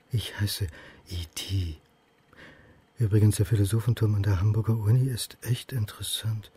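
An actor playing an anxious, suspicious male historian in a radio crime drama, thinking aloud in halting mutters. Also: lowish voice, warm tone, breathy edge, no room sound. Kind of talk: radio drama acting with inner monologue.

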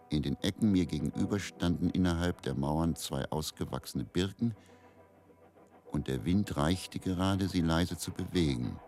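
An actor playing a haunted, resigned man who recounts his story in a measured, deliberate dramatic monologue with pauses. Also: rumbling low, a little mellow. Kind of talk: slow first-person dramatic monologue.

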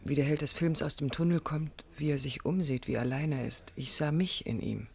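An actress playing a yearning, introspective young woman in a 1980s radio drama, speaking in an intimate, measured, reflective way. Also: low voice, creaky, darkish tone, slightly rough, full character voice. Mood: melancholy, probing. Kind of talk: 1980s German radio drama, intimate first-person narration. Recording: 1980s radio play with music.

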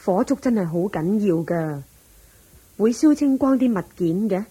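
A woman playing the gentle, concerned wife of a wealthy master in a Cantonese radio drama, attentive, with a Hong Kong accent. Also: medium pitch, animated, normal speed, breathy edge, poor audio.

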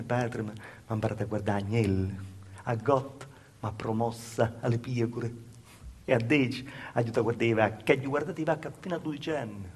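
A man's voice: fairly high pitch, natural voice. A male stage actor playing a humble, naive peasant woman with a Calabrian accent, telling her hard life in a plaintive dialect monologue that circles back over its own words. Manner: plaintive storytelling with insistent repetitions. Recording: live stage recording with faint noise.

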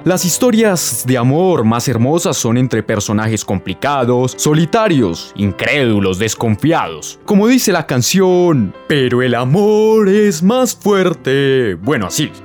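A man's voice: medium pitch, darkish tone. A man with a Colombian accent giving wry, scripted narration for a radio drama.

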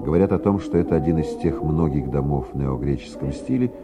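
A man reading scripted dramatic narration for a radio play in a measured, theatrical manner. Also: rumbling low.

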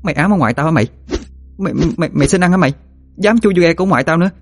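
A man's voice: a little high, fast, noisy background, pitched above the performer's own voice, natural voice. A man voicing a spirited, scrappy young granddaughter in a thin, whiny, scolding voice for a dramatized story. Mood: furious scolding. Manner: whiny, scolding, repeats words.

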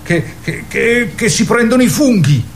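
A slow, halting, dreamy boy. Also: very high voice, expressive, slight background noise. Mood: stubborn, halting. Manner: halting, hums hesitantly, insistent. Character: stubborn dreamy thirteen-year-old Italian boy, mind takes flight. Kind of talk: theatrical radio drama dialogue.

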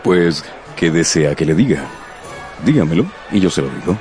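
A man playing a proud, reserved young gentleman with a deep, grave, terse delivery in a radio drama. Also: animated, moderate pace, faint music behind. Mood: stiff, formal. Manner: terse, formal.

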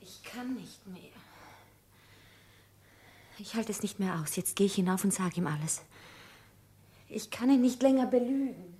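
An actress playing a desperate, secretive young woman in a German radio play, husky and urgent, speaking in short pleading phrases. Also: medium pitch, expressive, unhurried, pauses often, dark tone.

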